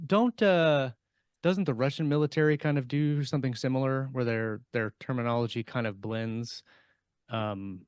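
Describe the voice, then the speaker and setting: A middle-aged man co-hosting a casual panel podcast as an author, explaining things haltingly into a close desk microphone at home. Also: mid-range voice, darkish tone, smooth, dry recording. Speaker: podcast co-host and science fiction author. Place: small home room lined with shelves. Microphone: close desk microphone with headset, on a video call.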